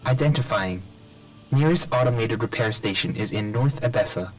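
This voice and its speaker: An actor playing an emotionless military robot drone in a video game, giving literal, clipped status reports. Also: male voice, lowish voice, animated, normal speed, music in the background, rough recording. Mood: impassive, reporting.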